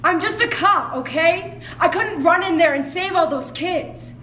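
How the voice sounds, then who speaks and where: A woman's voice: extremely high pitch, measured pace, sharp tone, full character voice. A woman playing a frustrated, defensive police officer, speaking insistently in a dramatic stage monologue. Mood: angry, defensive. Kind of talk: dramatic readers theater monologue. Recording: echoey noisy room, far from mic.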